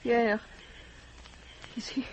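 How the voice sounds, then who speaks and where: An actress playing an anxious French-accented woman in an old-time radio drama, worried and questioning. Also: extremely slow. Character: anxious French wife of a backwoods guide. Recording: noisy vintage radio recording.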